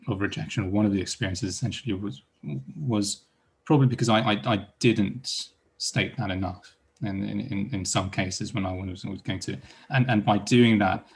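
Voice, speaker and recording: A man's voice: lowish voice, creaky, slightly rough. A man from Iran speaking on a panel as a psychologist, hesitant and full of repeated words and restarts. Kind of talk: panel discussion.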